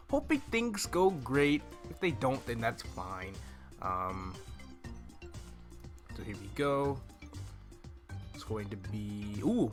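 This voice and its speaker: A young adult man hosts a casual gaming walkthrough at an average male pitch. He thinks aloud in an easygoing way, close on a desk mic. Game music plays along behind his voice. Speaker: gaming video host. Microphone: desk microphone close to the mouth.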